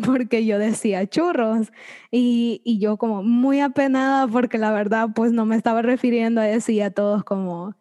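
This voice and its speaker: A young woman sharing anecdotes in a relaxed group conversation. Her voice is somewhat high and mellow, and she tells her stories with easy self-amusement. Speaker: podcast guest, student. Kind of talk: casual group chat.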